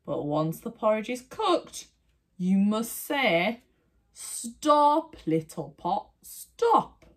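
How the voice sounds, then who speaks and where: A woman voicing a kindly old woman from a storybook, speaking slowly and deliberately as she gives instructions. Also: mid-range voice, big pitch swings, pitched above the performer's own voice, heavily acted. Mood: kind, instructive. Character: kindly old woman giving magic gift.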